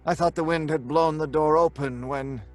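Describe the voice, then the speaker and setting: An actor playing a tormented, secretive scientist in an old-time radio drama, speaking in a grave, deliberate, dramatic way. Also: male voice, a little high, fairly even intonation, a little mellow, faint music behind, lightly acted. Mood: grave, haunted. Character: tormented male scientist, guilt-ridden, secretive and defiant. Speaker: lead character and narrator.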